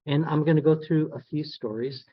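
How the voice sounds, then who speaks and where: A soft-spoken man giving a keynote, telling personal stories in a folksy, halting manner. His voice is dry and close, with a dull, slightly lo-fi sound. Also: fairly high pitch, fairly even intonation, dry recording. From San Antonio, Texas. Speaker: guest speaker, federal statistics agency director. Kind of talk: storytelling keynote.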